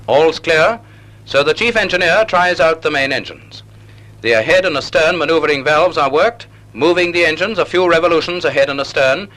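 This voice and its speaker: Male, 1940s instructional film narrator. Slightly high, crisp-edged bright tone, measured didactic delivery, vintage soundtrack. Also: rough recording.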